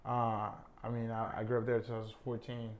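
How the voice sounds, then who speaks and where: A young college soccer player from Honduras, a native Spanish speaker, talking casually in an interview with many fillers. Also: male voice, medium pitch, a little fast. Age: young adult. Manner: relaxed, many fillers like "I mean" and "uh".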